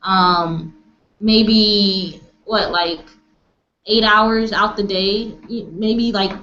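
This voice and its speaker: A woman's voice: mid-range voice, steady tone, very slow, a bit bright. A woman leading a casual online group discussion, speaking hesitantly and searching for words over a video call. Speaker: discussion facilitator. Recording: echoey, dull, noisy video-call audio.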